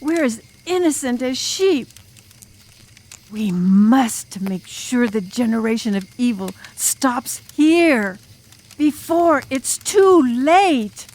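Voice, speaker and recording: A woman's voice: fairly high pitch, sing-song, darkish tone, exaggerated voice. A woman playing an intense, enigmatic girl drum major who tells a scary tale dramatically in an audiobook. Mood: grave. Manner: dramatic, ominous, deliberate.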